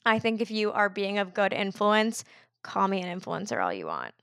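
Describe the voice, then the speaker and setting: A woman's voice: mid-range voice, huge pitch swings, moderate pace, gravelly, fairly bright tone, clean tone, no room sound, clean studio sound. A woman in her twenties talking as a podcast guest, chatty and casual, filling her speech with "like". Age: 20s. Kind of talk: casual conversational interview.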